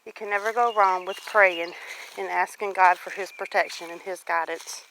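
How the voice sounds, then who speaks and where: Woman vlogging outdoors with clear background noise. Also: fairly low pitch, fairly even intonation, moderate pace, fairly bright tone, poor audio. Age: late 40s. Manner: devout, encouraging. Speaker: homestead vlogger. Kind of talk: casual reflective walk-and-talk vlog. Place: outdoors on a rural property.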